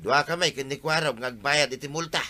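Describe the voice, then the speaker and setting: An Ilocano village man in a radio comedy drama. His voice is forceful and bright, at an ordinary male pitch, made for arguing with the neighbours. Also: quick pace. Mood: explaining.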